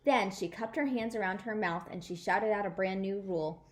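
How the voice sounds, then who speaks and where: A woman storyteller reading a children's book aloud in an animated, emphatic way. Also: medium pitch, moderate pace. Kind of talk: expressive children's book read-aloud in a child narrator's words.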